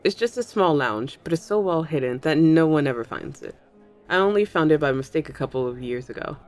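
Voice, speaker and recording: A woman's voice: deep voice, lively intonation, brisk, a little mellow, faint music behind. A woman voicing a flirtatious thunder fae in an intimate audio roleplay, teasing and coy as she addresses the listener. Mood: inviting, teasing. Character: flirtatious thunder fae at a New Year's party, smooth charmer. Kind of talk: ASMR audio roleplay, intimate one-sided dialogue.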